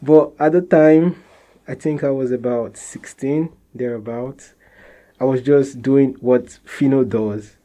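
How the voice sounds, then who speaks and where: A young man with a Nigerian accent, answering interview questions in a relaxed way, often restarting phrases and laughing softly. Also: mid-range voice, slow pace. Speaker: interviewee, musician.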